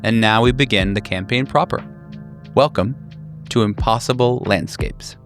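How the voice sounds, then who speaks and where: A man's voice: mid-range voice, moderate pace, soft background music. A man hosting a tabletop role-playing podcast as game master, introducing the show and prompting players.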